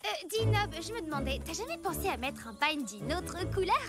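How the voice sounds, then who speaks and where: A woman voicing an excitable, caring young cave girl in a French cartoon. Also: very high-pitched, fairly quick. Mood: teasing, casual. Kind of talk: French animated cartoon dub. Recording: loud music under the voice.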